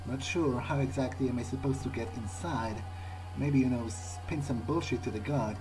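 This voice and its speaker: A man improvising an in-character video game playthrough, speaking haltingly as he thinks aloud. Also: medium pitch, over music, low-quality recording.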